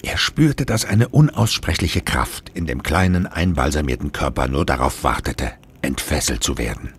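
An actor as a grave storyteller, narrating a horror audio drama in a solemn, suspenseful manner. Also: male voice, rumbling low, animated, normal speed, slight background noise.

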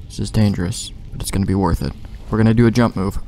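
A man's voice: fairly low pitch, quick pace, gravelly, dark tone. A man giving casual, rambling gameplay commentary with plenty of slang and swearing. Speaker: let's play gamer. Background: game music.

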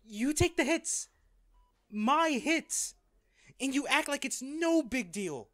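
A man playing a wealthy, devoted, wounded lover in an intimate, halting audio drama. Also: very high-pitched, lively intonation, a bit bright, no room sound, clean studio sound, full character voice. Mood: anguished, furious. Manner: slow, halting, emotional delivery. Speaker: voice actor in an audio roleplay.

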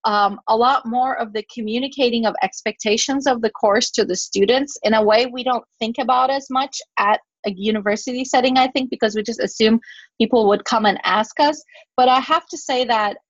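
A woman whose first language is Turkish explains teaching methods in a webinar, thinking aloud with "um"s and restarts. Her voice sits a little high, and the audio is dry and muffled like a video call. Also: brisk, dry recording. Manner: explanatory, fillers and self-corrections. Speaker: guest speaker, professor. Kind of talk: webinar talk and Q&A.

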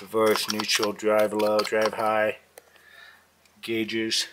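A man filming a walkthrough of an old barn, narrating in a calm, matter-of-fact way with pauses, recorded on a handheld camera inside a metal barn. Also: on the low side, noisy background. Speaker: vlogger filming a barn find. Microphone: handheld camera mic.

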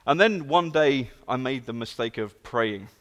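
A man's voice: medium pitch, a little slow, a little hiss or hum. A man in his thirties with a London British accent, giving an earnest, storytelling guest sermon in a large church. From England. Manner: earnest, storytelling, lively emphasis. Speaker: guest speaker. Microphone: handheld and stage mic. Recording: long hall reverb.

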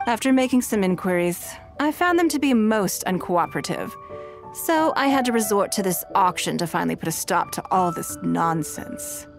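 A woman voicing a calm, shrewd, wealthy noblewoman in a game, speaking in a measured, commanding way, with music behind her. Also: medium pitch, vocal fry. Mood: reflective. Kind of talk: game dialogue voice acting.

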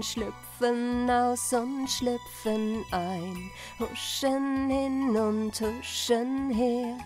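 A woman's voice: a little high, darkish tone. A woman voicing a tiny, playful singing mouse, chanting a storybook rhyme in sing-song.

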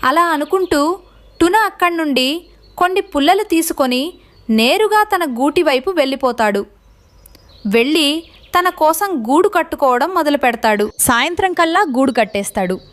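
High-pitched woman narrating a children's story. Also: lively intonation, normal speed, a bit bright.

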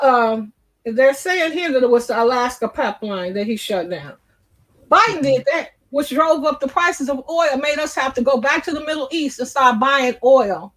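A woman's voice: sits high, a bit bright, poor audio. A woman host on a video call, reading headlines aloud and debating bluntly.

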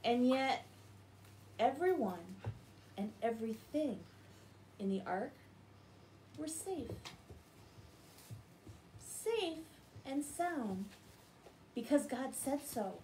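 A middle-aged woman with an American accent speaks to children as a bedtime storyteller. Her voice sits on the high side and she takes her time. It is a home recording with some noise behind her. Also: lively intonation, drawn-out words, long pauses, dark tone. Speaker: children's Bible story reader. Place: living room at home, indoors.

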